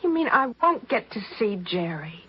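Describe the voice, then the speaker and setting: A woman's voice: fairly high pitch, sing-song, moderate pace, slight background noise. An American woman playing a naive, impulsive eighteen-year-old stowaway, hesitant and pleading, in a 1950s radio drama. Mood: confused, anxious. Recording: dull, lo-fi 1950s radio recording.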